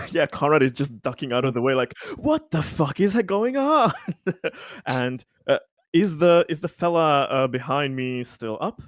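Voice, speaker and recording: A man's voice: fairly high pitch, very animated, measured pace, no room sound. A man playing a tabletop roleplaying game, chatting casually and describing what his character does.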